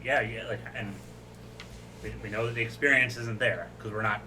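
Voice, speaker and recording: A man's voice: fairly low pitch, fairly even intonation, normal speed, distant mic, background noise. A man, a firefighter, co-hosting a podcast and chatting casually about his work. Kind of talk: casual chat.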